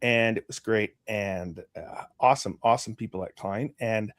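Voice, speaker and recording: A man from Canada speaking as an interview guest, casual and halting, often restarting his phrases. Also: on the low side, dry recording. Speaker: interview guest, community manager.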